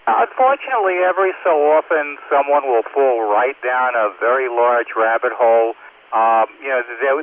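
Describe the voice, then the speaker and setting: A man's voice: mid-range voice, gravelly. A man chatting casually on an amateur radio repeater, rambling a little and restarting his sentences. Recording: tinny two-way radio transmission.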